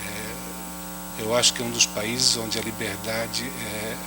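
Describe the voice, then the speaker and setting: Panelist, man, lowish voice, non-native Portuguese speaker, steady hum in the background. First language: not Portuguese. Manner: unhurried, adds "né?" after many phrases. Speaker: round-table panelist. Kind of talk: speech at an academic round table. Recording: audible hum under the voice.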